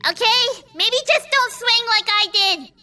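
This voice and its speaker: A woman's voice: very high-pitched, unhurried, bright, forceful tone, faint music behind, voice pushed up, heavily acted. A woman voicing a plucky young female adventurer in a tabletop roleplay, with a casual, offhand manner. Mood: reassuring.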